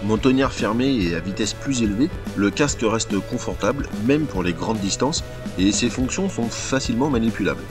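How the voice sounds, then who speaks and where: Guy with a lower voice reading a scripted review voiceover, music playing behind. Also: lively intonation, a little fast. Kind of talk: scripted voice-over narration, product review. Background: music bed.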